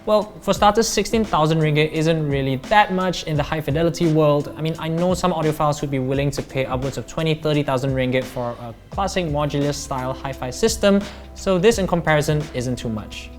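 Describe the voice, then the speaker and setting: A man's voice: fairly high pitch, fairly quick, faint music behind. A man in his thirties with a Malaysian accent, narrating a scripted product review as a tech reviewer.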